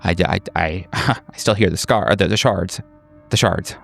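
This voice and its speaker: A man's voice: low voice, a little slow, vocal fry, soft background music, heavily acted. A man voicing a timid, haunted therapy patient in a tabletop game, faltering and repeating his words. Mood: haunted, uneasy.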